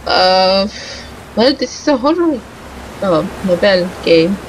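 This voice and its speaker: A woman's voice: mid-range voice, expressive, slow pace, poor audio. A woman giving casual, reactive let's play commentary, muttering to herself and exclaiming as she plays. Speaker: gaming commentator. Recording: clear background noise and game ambience. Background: video game ambience.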